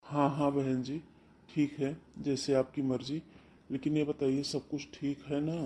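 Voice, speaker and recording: A man's voice: mid-range voice, flat delivery, unhurried, warm tone, a little hiss or hum, lightly acted. A man voicing a humble, poor father of the bride. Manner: humble, polite, pleading. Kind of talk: Hindi cartoon voice acting.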